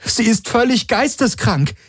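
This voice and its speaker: An urgent, commanding starship pilot woman played by a man, pitched far above a normal male register. The delivery is quick-witted and exclamatory, like a tense moment in a sci-fi audiobook, with a very dry, close sound. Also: big pitch swings, breathy edge, no echo. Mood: appalled. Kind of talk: dramatized audiobook dialogue.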